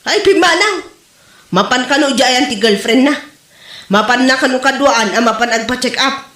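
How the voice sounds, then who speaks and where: Woman acting high-voiced Ilocano scolding mother, roomy. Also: normal speed, a bit bright. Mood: exasperated. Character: worried, scolding mother, exasperated wife. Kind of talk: comedy-drama radio acting in Ilocano. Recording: roomy, echoey voice recording.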